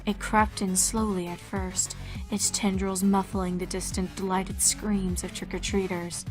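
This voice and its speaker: A woman's voice: mid-range voice, little pitch movement, unhurried, poor audio. A woman reading fan fiction aloud as a dramatic scripted narration. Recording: music behind the voice. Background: background music.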